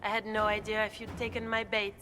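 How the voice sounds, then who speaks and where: A woman's voice: medium pitch, completely flat delivery, brisk, music in the background, natural voice. An actress playing a scheming, gloating noblewoman in a video game, haughty and mockingly courteous, with theatrical delivery. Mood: smug. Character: scheming noble duchess villain, gloating and ambitious. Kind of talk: video game cutscene acting.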